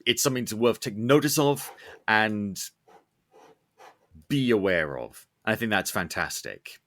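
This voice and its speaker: A man hosting a casual podcast interview, talking in an earnest, rambling, animated way. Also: lowish voice, measured pace. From Scotland, UK. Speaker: podcast host. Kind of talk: casual interview chat, opinionated.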